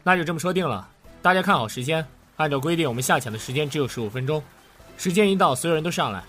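An actor playing a lively young man who loves diving and also narrates in a Chinese audio drama. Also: a little high, rapid-fire, soft background music. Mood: cheerful, eager.

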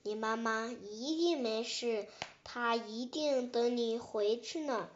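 A woman voicing a big, hungry male tyrannosaurus in a storybook, blustering and halting, gruff but softening. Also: high voice, highs cut off, a little hiss or hum. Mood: gentle, halting.

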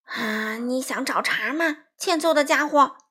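A woman's voice: a little high, expressive, normal speed, a bit bright, breathy edge. A woman voicing a bossy, boastful, hot-tempered young male bird in a children's story, with an indignant, squabbling manner. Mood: angry.

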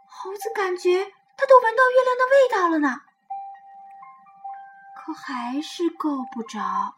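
Female children's storyteller, high and very lively pitch, unhurried delivery, coaxing and expressive. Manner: expressive, coaxing, addresses young listeners with questions. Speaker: children's storytelling show host. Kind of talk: animated picture-book bedtime storytelling. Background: music.

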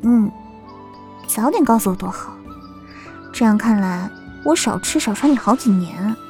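A woman playing a dutiful, affectionate young wife in a Chinese period audio drama, speaking in a gentle, respectful way. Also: a little high, animated, measured pace, faint music behind. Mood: affectionate, teasing. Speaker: voice actor in an amateur audio drama.

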